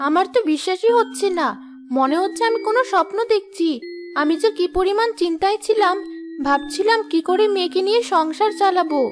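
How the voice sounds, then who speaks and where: A very high, almost childlike voice from a woman acting as a humble young widow in a fairy-tale cartoon. She speaks earnestly and plaintively, exclaiming her troubles and wonder. Light music plays underneath. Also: brisk. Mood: amazed relief.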